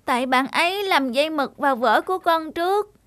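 A woman's voice: high voice, animated, brisk, fairly bright tone, dry recording, clean studio sound. A woman voicing a small, stubborn schoolboy who argues plaintively, in a cartoon dub. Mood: aggrieved. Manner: plaintive, argumentative. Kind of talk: cartoon dubbing.

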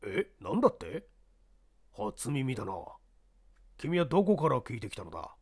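A shrewd, determined baseball scout in an audio story , played by a male reader. He speaks earnestly and insistently, with lively intonation in a dry recording. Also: mid-range voice, normal speed, husky, no echo. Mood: feigning ignorance.

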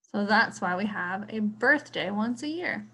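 A woman's voice: mid-range voice, animated, a little slow, bright, forceful tone, breathy edge. An American woman chatting casually for children as a read-aloud co-host on a video call.

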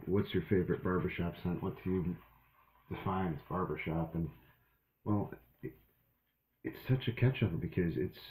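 A man's voice: low voice, warm tone. A young man rambling casually to a webcam in a small bathroom, with many fillers and restarts. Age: young adult. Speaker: shaving vlogger. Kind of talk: casual talk to camera. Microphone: webcam at arm's length. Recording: echoey small room.

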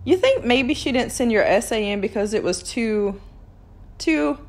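A woman's voice: on the high side, lively intonation, measured pace. A woman reading aloud, voicing a mischievous little brother in a storybook. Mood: cheeky.

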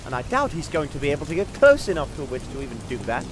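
A cautious, sarcastic elf played by a man argues with exasperation. His voice is fairly high and very animated, with a clear storm behind it in a comic fantasy drama. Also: rapid-fire, noisy background. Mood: exasperated. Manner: sardonic, argumentative. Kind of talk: comedy fantasy audio drama. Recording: rain and thunder behind.

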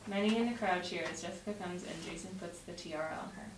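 A woman's voice: fairly low pitch, quick pace. A young woman reading stage directions aloud at a table read, recorded from across a dining room. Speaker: script narrator at a table read. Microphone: far camera mic. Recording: distant mic, roomy echo, noisy.

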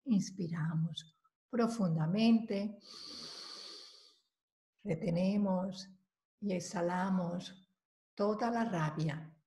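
A woman leading a guided meditation, giving calm, measured instructions with long pauses between phrases. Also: on the low side. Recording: roomy, echoing voice recording.

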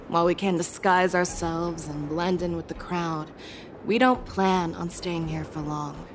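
Woman as steady, lower-voiced game general, tactical, quietly authoritative. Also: music in the background, natural voice. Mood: commanding. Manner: measured, lecturing, hushed at times. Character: commanding female general, calm sharp strategist. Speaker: military general. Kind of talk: game cutscene voice acting.

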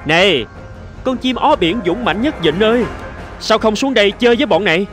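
A man's voice: high-pitched, lively intonation, normal speed, over music. A man voicing a spiteful, cocky male sardine in a cartoon fable, mocking and gloating theatrically. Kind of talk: animated fable voice acting.

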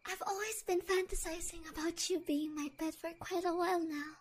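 A woman's voice: very high-pitched, fairly even intonation, moderate pace, a little hiss or hum. Woman playing a whispery, obsessive, possessive girlfriend. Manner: soft whispering with giggles. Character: obsessive, possessive young woman, sweetly menacing. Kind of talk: audio roleplay acting.

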